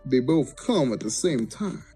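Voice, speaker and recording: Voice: medium pitch, very animated, measured pace, warm tone, faint music behind. A person giving a crude, mock-dramatic reading of a comic story, sometimes breaking off into blunt asides. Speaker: amateur story reader.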